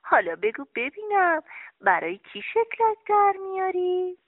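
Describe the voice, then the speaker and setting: Woman playing a big storybook bird, kindly and coaxing, lively high voice, tinny phone-like sound. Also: dry recording. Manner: gently scolding, then urging.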